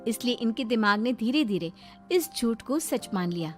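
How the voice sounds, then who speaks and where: A woman's voice: a little high, animated, moderate pace, close to an everyday voice. A woman voicing a caring, reasonable young daughter-in-law in a cartoon moral story, polite and explanatory. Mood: explaining. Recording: music behind the voice.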